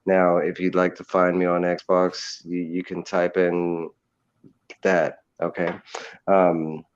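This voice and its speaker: Deep-voiced man in his forties, rambling. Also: completely flat delivery, takes it slowly, slight background noise. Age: 40s. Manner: rambling, jokey, stumbles over words. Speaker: guest co-host. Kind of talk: casual chat. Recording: dull lo-fi audio.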